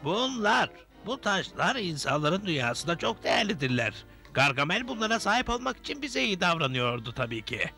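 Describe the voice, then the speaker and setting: A stern little old cartoon gnome, high and gravelly with a hard bright edge. He sounds firm and fatherly, the wise leader of his village, with music underneath. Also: sing-song, moderate pace, heavily acted. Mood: stern, wary. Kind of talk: animated cartoon dubbing.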